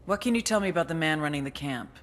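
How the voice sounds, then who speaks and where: An actress playing a tough, sardonic CIA handler in a video game cutscene, foul-mouthed and coolly in control. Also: female voice, low voice, expressive, very fast. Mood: coolly persuasive. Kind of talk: cinematic game cutscene acting, briefing narration. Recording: music behind the voice.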